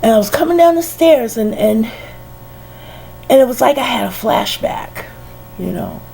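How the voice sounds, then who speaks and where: A middle-aged woman with a head cold, musing slowly and casually, sighing and laughing softly between many "you know"s. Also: fairly high pitch, animated, creaky, breathy edge, soft background music, rough recording. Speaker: vlogger. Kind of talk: casual reflective monologue.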